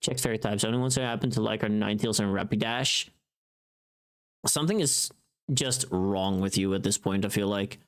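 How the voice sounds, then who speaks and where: A livestream host chatting casually with viewers in an animated, informal, sweary way. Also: medium pitch, a little fast.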